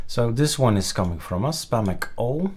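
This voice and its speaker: A man presenting a casual screen-recorded software tutorial, haltingly thinking aloud as he goes. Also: medium pitch, expressive, a little hiss or hum.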